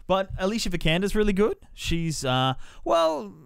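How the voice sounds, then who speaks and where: A man's voice: high-pitched, wildly swooping pitch, moderate pace, creaky, clear, resonant tone, no room sound, studio quality. A man hosting a podcast, giving a casual, sweary, colloquial review of a film. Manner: sweary, colloquial, loosely descriptive.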